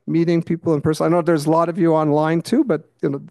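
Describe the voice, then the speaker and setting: A man presenting at a conference, his voice somewhat high for a man and fraying as he loses it. He clears his throat again and again and ends points with a questioning "right?". The sound is close and dry. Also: flat delivery, fast, warm tone, dry recording. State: losing his voice. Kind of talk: conference talk with slides. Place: conference meeting room.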